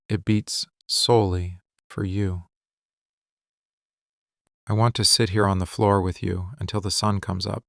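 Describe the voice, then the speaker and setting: A man playing a possessive, tender mafia boss in a boyfriend ASMR roleplay, speaking soothingly and deliberately in short, hushed phrases. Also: lowish voice, creaky, no echo, studio quality. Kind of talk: boyfriend ASMR roleplay, slow intimate monologue.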